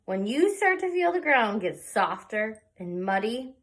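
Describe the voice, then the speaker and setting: A woman's voice: fairly high pitch, very animated, measured pace. A woman reading the part of a patient father in a picture book, explaining things encouragingly to his child.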